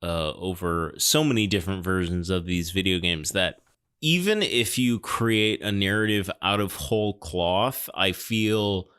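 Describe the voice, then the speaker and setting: Guy on a podcast, lowish smooth voice, thinks out loud in stop-start phrases, casual movie talk. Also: unhurried. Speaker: podcast co-commentator. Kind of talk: casual film discussion.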